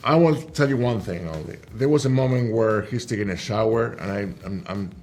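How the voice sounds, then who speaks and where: A man with a Spanish accent, speaking in a halting, self-mocking way in a press interview. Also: on the low side, darkish tone, soft background music. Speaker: actor.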